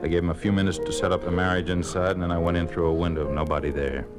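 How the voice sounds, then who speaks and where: An actor playing a cool, cynical secret police investigator in a 1950s radio drama, terse and matter-of-fact. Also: male voice, low-pitched, flat delivery, rapid-fire, close to an everyday voice. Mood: cool, reporting. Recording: old radio recording, loud music.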